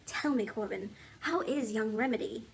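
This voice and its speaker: A woman voicing a loyal, determined woman, a king's wise old friend, in earnest, measured storybook dialogue. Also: a little high, voice pushed up, close to an everyday voice. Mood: caring.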